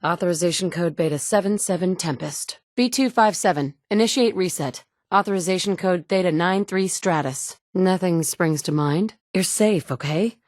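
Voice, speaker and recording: A tough, sardonic wasteland survivor with a low-ish woman's voice, played by an actress, blunt and dry, tossing off sarcastic asides. The studio sound is close and completely dry, with no room around it. It sounds like polished game dialogue. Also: moderate pace, few pauses, darkish tone, dry recording, close to an everyday voice. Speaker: player character. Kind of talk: video game voice acting. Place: studio booth. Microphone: close studio mic.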